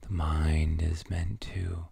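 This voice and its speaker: A man leading a guided meditation in a soft, soothing, breathy near-whisper, gently and with long pauses between phrases. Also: extremely low pitch, flat delivery, no room sound.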